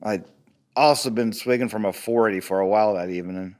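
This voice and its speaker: A man's voice: lowish voice, a little fast, a little mellow, lightly acted. An actor playing a defensive, abusive deadbeat father under interrogation in an audio drama, evasive, rambling and profane. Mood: rambling, frustrated. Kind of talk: audio drama table read.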